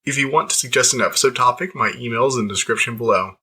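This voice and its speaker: A man with a mid-range voice, slightly hoarse from a sore throat, hosts his own book review show. He talks off the cuff in a loose, self-deprecating way, often stopping to restart a sentence. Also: medium pitch, rapid-fire, pushed and bright. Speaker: podcast host and book reviewer. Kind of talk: casual spoiler review monologue.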